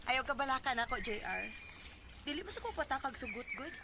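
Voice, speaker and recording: A woman's voice: sits high, lively intonation, normal speed, noisy background. An actress with a Cebuano Filipino accent playing a playful, teasing young woman in a radio drama.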